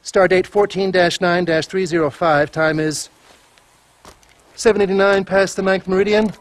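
Actor as high-pitched paranoid desert UFO nut. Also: male voice, steady tone, moderate pace, close to an everyday voice. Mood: officious, jittery. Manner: jittery, pseudo-scientific, earnest. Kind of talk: video game cutscene dialogue.

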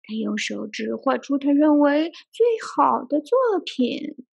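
A woman reading a children's picture book aloud in an expressive storytelling style. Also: sits high, measured pace, gravelly. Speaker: storybook reader and translator. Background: music.

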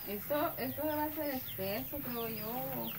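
A woman's voice: high voice, measured pace, slight background noise. Young woman cooking outdoors. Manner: lively, explaining. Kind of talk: casual cooking chat. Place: outdoor rustic kitchen among bamboo. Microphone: handheld phone camera.

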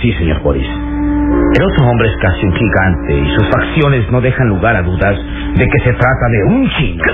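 An actor playing a sly, smug underworld informant, speaking with measured deference and sly relish in an old radio serial. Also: male voice, fairly low pitch, very animated. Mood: sly, assured. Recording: tinny band-limited old radio serial, music.

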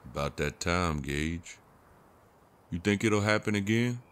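An actor playing a scruffy old drifter who gambles, in an audio horror drama. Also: male voice, sits low, lively intonation, a little slow, fairly bright tone, a little hiss or hum. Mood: sly, casual.

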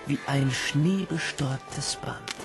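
A man playing a wistful narrator who tells his boyhood tale in measured, literary narration. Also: mid-range voice, animated, vocal fry. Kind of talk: scripted narration. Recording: loud music behind.

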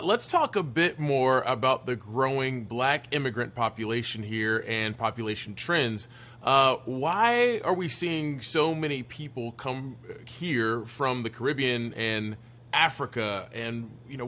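A male news anchor in his thirties introduces a correspondent. His delivery is brisk and conversational. His tone is bright and forceful at a middle pitch. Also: expressive.

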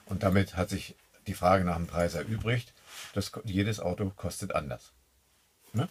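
A man co-hosting a camping vlog, chatting in a relaxed way from inside a camper van. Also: sits low, slight background noise. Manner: relaxed, talks in drawn-out asides with tag questions. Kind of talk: casual chat.

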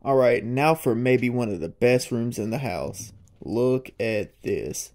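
Excited man exploring an old house. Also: medium pitch, slow pace. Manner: excited, marveling, chatty. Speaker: urban explorer vlogger. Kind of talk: casual walkthrough narration. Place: inside an abandoned old house.

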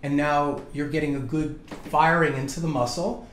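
Guy in his 50s, chiropractor talking patient thru it, voice a bit high, roomy echo. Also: fairly even intonation, normal speed. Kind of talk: casual hands-on explanation to a patient. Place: small treatment office, indoors. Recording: echoey room, background noise.

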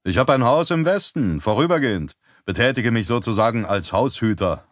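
A man's voice: lively intonation, fairly quick, no echo, clean studio sound, natural voice. A man voicing a jovial, heavyset middle-aged male crime boss with an effusive, hearty manner. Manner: effusive, booming, back-slapping.

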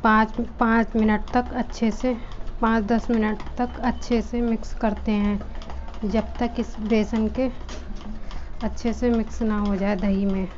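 A woman with a fairly high voice talks viewers through a recipe step by step, keeping her tone level and calm. She speaks from her home kitchen into a phone, and the sound is muffled and lo-fi. A faint room hum sits behind her. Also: a little slow. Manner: addresses viewers as friends, calm explaining. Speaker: home cooking channel host. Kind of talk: step-by-step cooking instructions.

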